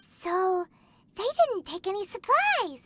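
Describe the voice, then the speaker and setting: Tiny childlike game companion: extremely high, squeaky, inquisitive, unhurried. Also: female voice, very animated, bright, forceful tone, a little hiss or hum, full character voice. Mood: pondering. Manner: inquisitive, exclaiming, thinking aloud. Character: tiny floating childlike companion, curious and chirpy. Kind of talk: video game character dialogue.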